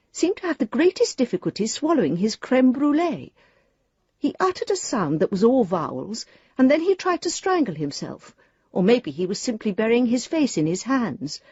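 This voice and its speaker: A woman's voice: medium pitch, mellow, husky, dry recording, muffled recording. An actress playing a prim, wryly amused woman reading her letter in a radio drama, formal, arch and politely understated. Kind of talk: radio drama monologue, letter read aloud.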